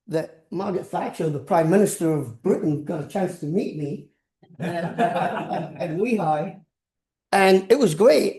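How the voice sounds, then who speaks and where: A man's voice: on the high side, mellow. An older man with a Scottish accent gives an informal academic lecture, rambling into anecdotes and repeating words as he goes. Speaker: professor giving a science lecture. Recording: roomy, echoing lecture sound.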